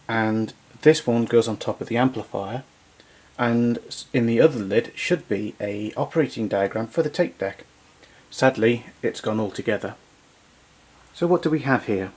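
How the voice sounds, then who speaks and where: A man presenting vintage equipment in an unscripted demonstration, explaining things in a hesitant, pausing way. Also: medium pitch, darkish tone. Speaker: vintage tape recorder collector presenting.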